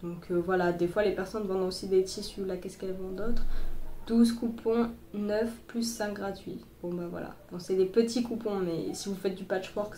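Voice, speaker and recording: A woman's voice: medium pitch, dark tone, roomy sound, slight background noise. Chatty young woman with a slight cold. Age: young adult. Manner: chatty, many fillers and restarts. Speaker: sewing vlogger. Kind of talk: casual tutorial chat. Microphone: boyfriend's phone.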